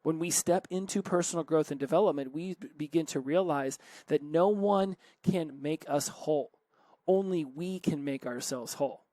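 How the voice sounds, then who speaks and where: A man hosts a solo self-help podcast in an earnest, conversational way, often stopping to correct himself. His voice sits a little high for a man. The recording is close and dry, with no room echo. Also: moderate pace, warm tone. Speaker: podcast host.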